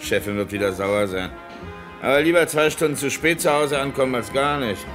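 An actor playing a gruff, talkative long-haul trucker in a vintage radio crime play. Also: male voice, medium pitch, a little fast, over music, lightly acted. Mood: chatty. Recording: old radio play production.

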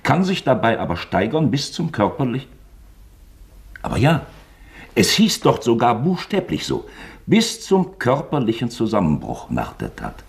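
A sly investigator in a german radio play, super polite but probing, gravelly, very animated. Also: male voice, mid-range voice, measured pace, heavily acted. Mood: feigned geniality, probing. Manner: mock-forgetful, hesitant, probing politeness. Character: sly, falsely genial male investigator toying with suspect.

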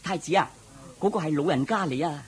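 A man's voice: a little high, brisk, husky. A man acting a prince's loyal chariot driver in a Cantonese radio drama, deferential and explaining things plainly. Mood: patient explaining.

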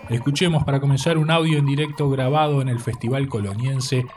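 A man reads a scripted cultural radio segment in a formal, literary, measured way. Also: mid-range voice, fairly even intonation, a little mellow, recorded from a distance. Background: music.

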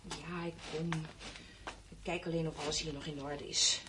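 A woman's voice: sits low, flat delivery, normal speed, bright, forceful tone. Practical impatient woman, faint noise. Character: practical, impatient woman urging on a frightened companion. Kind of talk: radio drama dialogue.

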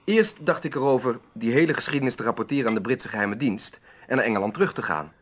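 A man's voice: medium pitch, animated, fast, close to an everyday voice. An actor playing an earnest, bold adventurer telling his story emphatically in a Dutch radio drama.

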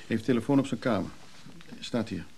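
Sly go-between, radio drama: dark, gravelly tone, dry, casual, cool. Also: male voice, mid-range voice, lively intonation, a little fast, slight background noise. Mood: persuasive. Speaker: actor in a radio play. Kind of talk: naturalistic Dutch radio drama dialogue.

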